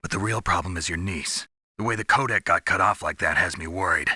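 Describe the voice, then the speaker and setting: A man playing a gruff, hard-bitten covert soldier in a video game, gravelly and terse, coldly pragmatic. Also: low-pitched, fairly quick, sharp tone, no echo, studio quality, exaggerated voice. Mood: grim, cold. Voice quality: gravelly, husky. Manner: terse, guarded.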